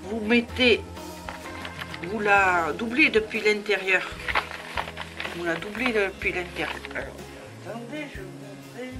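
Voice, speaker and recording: A woman with a stuffy head cold talks slowly through a crafting demo, pausing often and filling gaps with little hesitations. Her pitch sits in an ordinary middle range. Music plays clearly behind her. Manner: chatty, many hesitations and fillers. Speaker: crafting tutorial host. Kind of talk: casual crafting demonstration.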